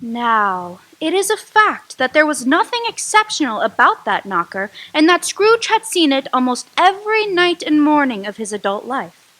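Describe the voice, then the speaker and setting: A woman narrating a radio drama in a measured, suspenseful storytelling way. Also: high voice, sing-song, sharp tone, poor audio.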